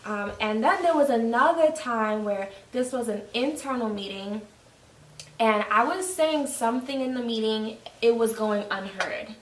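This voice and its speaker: Host: young New York woman, slightly high voice, candid and quick to laugh, recorded off-mic in an echoey room. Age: 20s. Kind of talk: casual conversation. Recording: roomy, mic at a distance.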